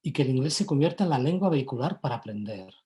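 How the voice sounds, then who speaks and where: A woman's voice: very deep voice, lively intonation, quick pace, mellow. A woman, politely and formally putting questions as a moderator. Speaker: moderator relaying audience questions.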